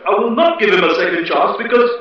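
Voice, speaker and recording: A man's voice: sits high, very animated, fast talker. A man playing a belligerent, foul-mouthed talk show guest in a spoof radio play, indignant and insulting. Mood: scornful, angry. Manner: combative, exclamatory, insulting. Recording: very echoey, distant, dull, heavy noise.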